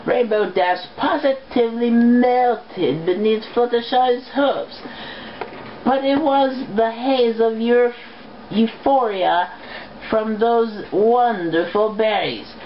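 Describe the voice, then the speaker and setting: Female amateur reader, very unhurried and halting, casual delivery, lo-fi sound with slight noise. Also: a little high. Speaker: amateur fan fiction reader. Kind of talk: casual, halting read-aloud. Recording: dull lo-fi home recording, background noise.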